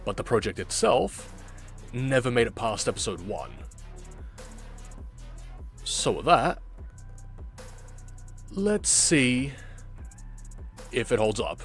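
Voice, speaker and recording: A man hosting a casual reaction video as an amateur voice actor, talking in deliberate, halting phrases. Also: mid-range voice, big pitch swings, faint music behind.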